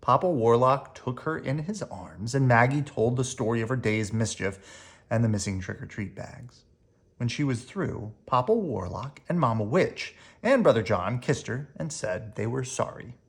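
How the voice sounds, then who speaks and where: Animated storybook reader with swooping intonation. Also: medium pitch. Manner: animated storyteller with dramatic emphasis and drawn-out words. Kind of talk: expressive children's read-aloud narration.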